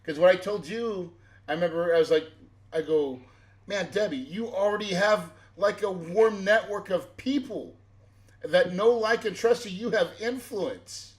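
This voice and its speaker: A man with a somewhat high voice hosts an interview. He coaches and persuades, often tagging his points with "right?". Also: measured pace. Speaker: podcast host. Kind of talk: casual interview.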